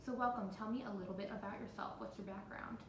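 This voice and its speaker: Fast-talking woman interviewer, echoey noisy room. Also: steady tone. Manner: encouraging. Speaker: interviewer and coach. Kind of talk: interview questions and informal coaching feedback. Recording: roomy, noisy phone recording with rumble.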